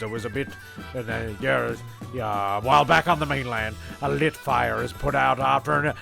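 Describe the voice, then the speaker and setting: A man hosting and narrating an improvised comedy, halting and rambling as he goes. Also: medium pitch, vocal fry, bright, forceful tone. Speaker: host and narrator.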